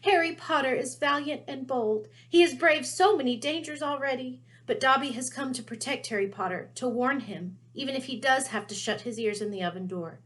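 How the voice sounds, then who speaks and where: Lady doing a squeaky little storybook elf servant, pitched up high, fearful and reverent, super dramatic and pleading. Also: brisk, few pauses, fairly bright tone. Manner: pleading, refers to self in third person.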